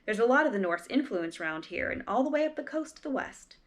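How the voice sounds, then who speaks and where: A woman's voice: fairly high pitch, animated, brisk, voice pushed up, natural voice. Woman reading nerdy know-it-all historian husband from a storybook, eager, matter-of-fact. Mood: eager, pedantic. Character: pedantic male historian husband, eager to explain.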